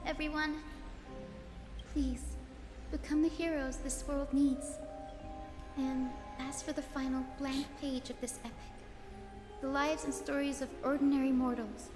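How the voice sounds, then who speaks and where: A woman playing a gentle but resolute young healer heroine in a video game, speaking earnestly. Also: high voice. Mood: tender, solemn. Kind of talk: scripted game dialogue. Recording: music playing behind the voice.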